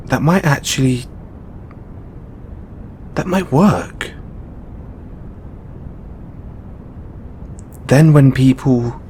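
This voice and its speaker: A man playing a stressed, tender god confiding in a lover in an intimate audio roleplay, speaking haltingly with stammers and sighs. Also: darkish tone. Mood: hopeful, tender. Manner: hesitant, halting, stammering and sighing. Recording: background noise with low rumble.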